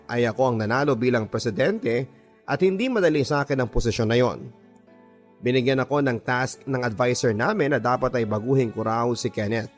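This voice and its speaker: A man with a Filipino accent narrating a scripted first-person love story in an expressive radio storytelling style. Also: mid-range voice. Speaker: radio drama narrator reading a listener's letter. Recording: voice over background music. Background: music.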